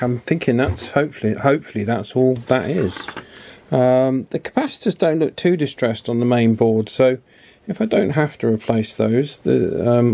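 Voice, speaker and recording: A man's voice: mid-range voice, gravelly, a little mellow, a little hiss or hum. A man giving casual, unscripted commentary as he works on an electronics repair, chatty and fond of tag questions. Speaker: host of an electronics repair channel. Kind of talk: casual hands-on repair commentary, talking while working.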